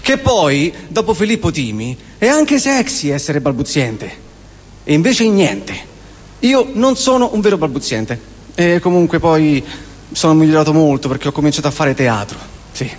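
A man in his forties with a Roman accent performs a theatrical comic monologue, using emphatic repetitions and deliberate pauses. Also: on the high side, big pitch swings. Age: 40s. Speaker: stage performer. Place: live theatre performance in a hall. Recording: long hall reverb of a live venue.

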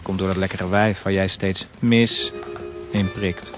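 A man's voice: sits low, level tone, a little slow, music in the background. An actor playing a young husband of a cancer patient, narrating his bitter, sarcastic inner thoughts in a radio play. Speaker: narrator and lead character. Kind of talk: Dutch radio drama.

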